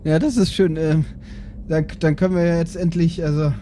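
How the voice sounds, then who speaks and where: Dark soft-toned male, acted good-natured dad, fussy, hesitant, improvised audio drama. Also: on the high side, flat delivery, moderate pace, a little hiss or hum, natural voice. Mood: mild, patient.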